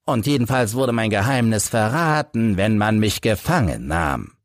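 A man voicing an embittered old crippled soldier who tells his tale in a halting, dramatic way for an audiobook. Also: mid-range voice, no room sound, clean studio sound, pitched above the performer's own voice. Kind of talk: audiobook character reading.

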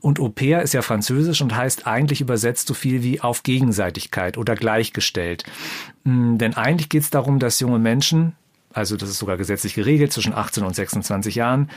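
Measured German man interviewing a guest. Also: medium pitch. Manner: measured, informative. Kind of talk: interview conversation.

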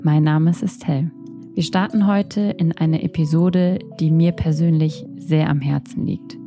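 A woman's voice: low voice, steady tone, a little slow, dark tone, faint music behind. A thirty-year-old woman hosting a podcast, speaking in a reflective, candid, unscripted personal monologue. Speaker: podcast host. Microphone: handheld microphone, close.

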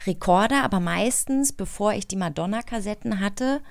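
Casual woman host, lightly gravelly. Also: mid-range voice, normal speed, dry recording, studio quality. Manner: informal, chatty. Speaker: interview host. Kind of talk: casual studio conversation. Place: recording studio.

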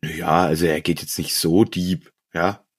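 A man's voice: deep voice, steady tone, measured pace, breathy edge. A man co-hosting a podcast in casual, colloquial conversation with friends. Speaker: podcast co-host. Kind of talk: casual chat among friends.

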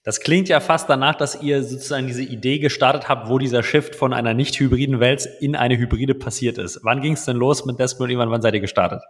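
An upbeat man hosts a business podcast from a studio. He reads his intro and asks questions in a lively way, mixing English business terms into his speech. The room is dry and close, with no echo. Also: medium pitch, brisk, few pauses, breathy edge. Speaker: podcast host. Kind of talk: casual interview with a scripted intro.

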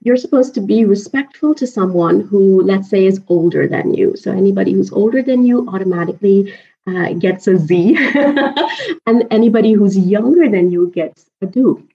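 A woman from Pakistan in her thirties, a native Urdu speaker, telling personal stories in an animated, chatty way as an interview guest. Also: medium pitch, normal speed. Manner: animated, chatty, laughs often. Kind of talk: casual personal storytelling in conversation. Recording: dull lo-fi audio.

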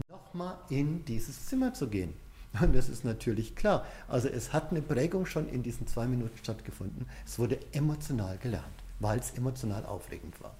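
Mellow-voiced man giving a coaching talk. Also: medium pitch, slight background noise. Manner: conversational, addresses the listener directly with rhetorical questions. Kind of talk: explanatory coaching talk, informal and direct address.

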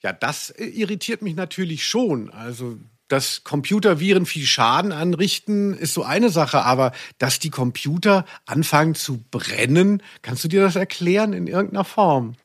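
Slightly high-voiced, rambling male podcast host. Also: expressive, moderate pace, few pauses, breathy edge. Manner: rambling, self-deprecating. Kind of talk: casual humorous anecdotal chat.

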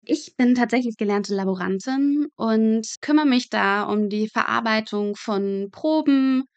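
A woman's voice: fairly high pitch, a little slow, smooth, no echo, lo-fi sound. A woman guest in a casual interview, explaining her work in conversational terms. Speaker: podcast guest, medical student working in pathology.

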